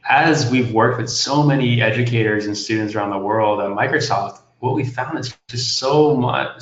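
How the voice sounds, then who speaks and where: An enthusiastic man with a slightly low voice presents in a webinar. He sounds like he is in a roomy space with echo. The audio is muffled, as if it came over a remote call. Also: a bit bright. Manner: upbeat, enthusiastic. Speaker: product manager.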